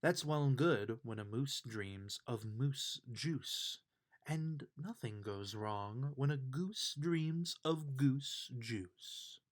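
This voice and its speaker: Man, mid-pitched voice, slow pace, expressive children's storybook read-aloud. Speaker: storybook reader.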